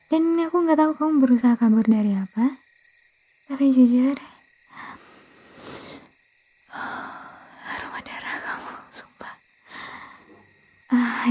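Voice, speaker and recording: A very breathy, mellow Indonesian vampire girl, acted by a woman, murmurs and whispers close in an ASMR roleplay. Her voice sits fairly high and stays soft. She coaxes and pleads, stumbling and correcting herself as she tries to seem harmless despite her thirst for blood. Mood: craving, pleading. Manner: hesitant, stammering, self-correcting, coaxing. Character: blood-craving young female vampire girlfriend, harmless, hesitant, pleading.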